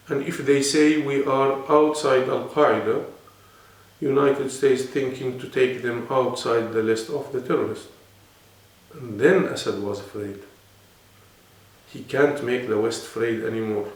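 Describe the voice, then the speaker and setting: A man's voice: mid-range voice, gravelly. A man with an Arabic accent, speaking non-native English and explaining earnestly as a guest in a serious interview. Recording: echoey room, mic at a distance.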